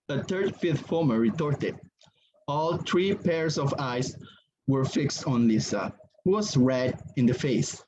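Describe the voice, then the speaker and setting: A man narrating a story as a dramatic reading. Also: mid-range voice. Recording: dull lo-fi sound, background noise.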